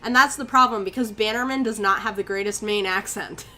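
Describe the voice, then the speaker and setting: Bright, jumpy woman streamer. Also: mid-range voice, fairly quick, slight background noise. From Connecticut, US. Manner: jumpy, easily spooked, swears and chats with chat. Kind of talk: live gameplay commentary.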